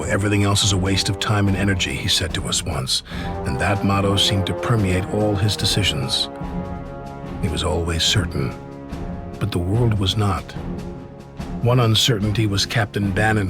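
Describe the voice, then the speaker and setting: A very low-pitched American officer , played by a man, narrates a war game's story. He is measured and reflective, like someone looking back on hard campaigns. Music plays under him. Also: natural voice. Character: weary male American army officer recalling the war. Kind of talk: scripted dramatic war narration.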